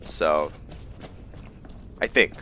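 A man's voice: extremely slow, bright, forceful tone, a little hiss or hum. A man giving casual let's-play commentary as a gaming host, musing aloud as he thinks through his decisions. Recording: game music behind the voice. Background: video game music.

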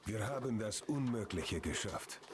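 An actor voicing a grave soldier narrating a loading screen in a game. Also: male voice, lowish voice, expressive, unhurried, a little hiss or hum. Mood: solemn.

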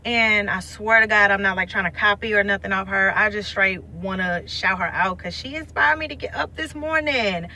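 Bright-voiced woman vlogging from a car. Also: medium pitch, normal speed, hardly any pauses, slight background noise. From St. Louis, USA. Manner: blunt, slangy, lively and chatty. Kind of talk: casual selfie vlog. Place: inside a parked car. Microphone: phone held at arm's length.

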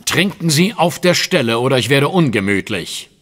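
An actor playing a confident, sardonic Victorian detective in a German audio drama, polite, measured and ironic. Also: male voice, medium pitch, sing-song, fairly bright tone. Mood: threatening.

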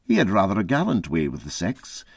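A man reading a literary story aloud as an expressive narrator. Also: low voice, fairly quick, creaky, slightly rough, no room sound, studio quality. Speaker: story reader.

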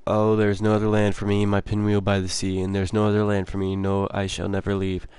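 A young man gives loose, rambling commentary while he plays a video game. His voice sits low, and he reads notes from the game aloud as he goes. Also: monotone, brisk, a little mellow, clean tone, dry recording. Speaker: gamer playing a horror-adventure game. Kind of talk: casual let's play commentary.

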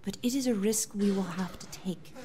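A woman's voice: medium pitch, moderate pace, dark tone, slight background noise, natural voice. Composed, grave noble rebel leader, acted by a woman. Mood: grave briefing. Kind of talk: science fiction audio drama acting.

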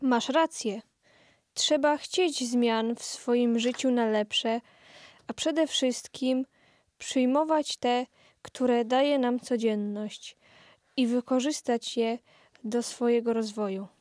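A woman's voice: on the high side, fairly even intonation, slow, a little mellow, dry recording, clean studio sound. A female performer playing an earnest young adviser in a scripted amateur radio play.

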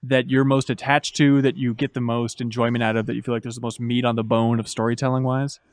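Man in his thirties, podcast interviewer, mid-pitched, fluent fan-like chat, close dry studio sound. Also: little pitch movement, fast, no room sound. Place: small podcast studio at a table. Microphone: close desk microphone.

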